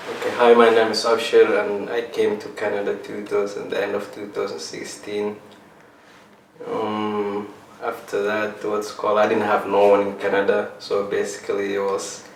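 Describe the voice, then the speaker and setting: A young man from Somalia telling his own story in an interview, halting and restarting as he searches for words. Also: on the low side, completely flat delivery. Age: young adult. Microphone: set up mic in room. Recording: roomy, mic a little far, faint hiss.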